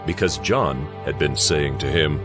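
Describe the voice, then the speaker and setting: Male scripture narrator, low-pitched, solemn, measured, scripted delivery, music underneath. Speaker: Bible narrator.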